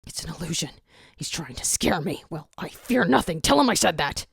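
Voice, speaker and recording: A woman's voice: fairly low pitch, lively intonation, brisk, gravelly, husky, no room sound, studio quality, full character voice. Woman acting a proud, tormented jackal commander, clipped and theatrical. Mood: grim, defiant. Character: proud, tormented male jackal squad commander, gruff and urgent.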